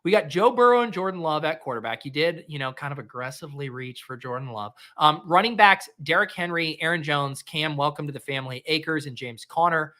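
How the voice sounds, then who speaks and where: A man in his thirties with a nasal voice, hosting a casual chat and trading goofy deadpan jokes. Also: on the high side, brisk, hardly any pauses. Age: 30s. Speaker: host.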